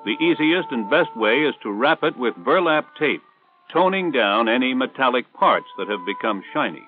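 An American man reading scripted instructional narration for a 1960s military training film in a measured, authoritative way. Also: medium pitch, animated, soft background music. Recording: tinny band-limited 1960s film soundtrack.

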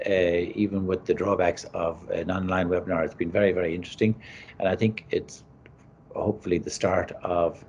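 A man chairing a formal webinar over a video call, speaking in a halting, hesitant way. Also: low-pitched, a little mellow. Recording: dull, noisy video-call audio.

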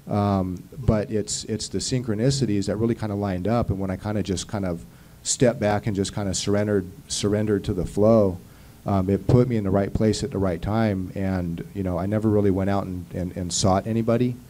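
A man in his thirties talks at a conference panel, his voice deep and mellow. He rambles earnestly with plenty of fillers and restarts, into a handheld mic with a faint hum behind. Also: fairly even intonation, a little fast. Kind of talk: casual panel talk. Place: conference hall panel table. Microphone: handheld mic on stand.

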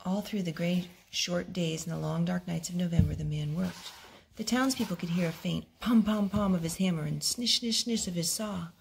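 A woman reading a picture book aloud at home, expressive and gentle, as a host talking to small children. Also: on the low side, normal speed. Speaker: storytime host, reader to young children. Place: home living room with wooden floor. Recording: home video with clear background noise.

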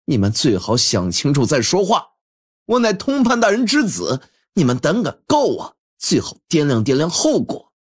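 A spoiled, vicious young bully of an official's son, acted out by a man. His husky voice swings wildly up and down as he blusters and threatens in audiobook style. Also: fairly high pitch, huge pitch swings, moderate pace, pitched above the performer's own voice, heavily acted. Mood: threatening. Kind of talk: audiobook character acting.

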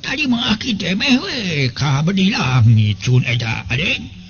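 A man's voice: on the high side, big pitch swings, measured pace, a bit bright, husky, dull audio, soft background music, pitched above the performer's own voice, exaggerated voice. A man voicing a fawning, flustered old village shaman who greets people with effusive deference in a told folk tale. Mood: fawning, eager.

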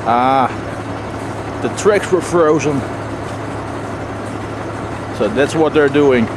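Slow-paced man vlogging in street noise. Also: mid-range voice. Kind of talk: casual vlog commentary on sights. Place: outdoors on busy city streets. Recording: clear outdoor street and traffic noise.

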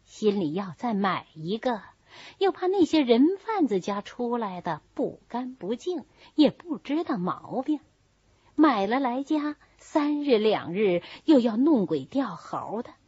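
A woman reading a novel voices an older, scheming wife of a wealthy master, speaking in a soft, coaxing manner. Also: on the high side, sing-song, measured pace, breathy edge, dry recording, muffled recording, full character voice. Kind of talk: storybook character reading.

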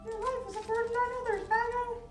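An extremely high, squeaky sock puppet hosts a twisted kids' show. The tone is sing-song and cruel, echoing from a distant TV tape over loud music. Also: flat delivery, quick pace. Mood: cheerfully cruel. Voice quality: squeaky, childlike. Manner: sing-song, addressing kids, mocking. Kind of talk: children's puppet show parody. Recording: echoey, distant, played on TV tape.

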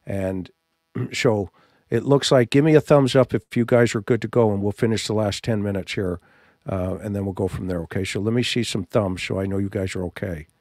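A middle-aged man hosting a livestream, talking to his audience in a halting, gentle way, close to a studio microphone. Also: on the low side, dry recording. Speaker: livestream host. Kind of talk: live commentary to an audience. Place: small indoor home studio. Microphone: studio microphone on boom arm, close.

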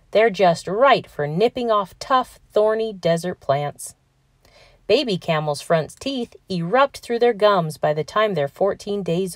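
Expressive woman reading aloud for children. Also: lowish voice, no room sound, studio quality. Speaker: children's read-aloud narrator. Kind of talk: scripted storybook narration for children.

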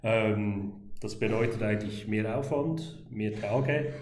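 A man hosting a podcast, asking brisk questions with fillers. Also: on the low side, a little mellow, reverberant, soft background music. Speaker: podcast host. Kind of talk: podcast interview.

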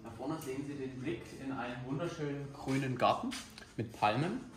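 A man's voice: mid-range voice, moderate pace. A young man giving a casual house tour in short, estate-agent-like phrases. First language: German. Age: young adult. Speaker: vlog companion showing the accommodation. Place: indoors in a furnished holiday house. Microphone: handheld camera a few steps away. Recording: echoey rooms, off-camera distance, music over it. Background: music.

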